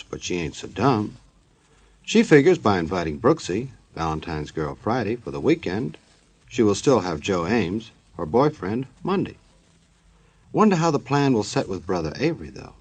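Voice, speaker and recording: A man's voice: lowish voice, slightly rough. A man narrating a scripted old-time radio show as announcer, in a folksy, tongue-in-cheek manner.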